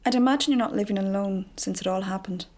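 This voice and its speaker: An actress plays a female therapist in a radio drama. Her mid-range voice is calm and measured, gently questioning in a natural way. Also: mid-range voice, natural voice. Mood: calm, probing.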